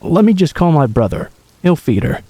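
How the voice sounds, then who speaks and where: A man voicing a frightened little girl who fakes calm on a phone call, urgent and insistent. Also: on the high side, moderate pace, breathy edge, no room sound. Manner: urgent, insistent, feigning cheerfulness.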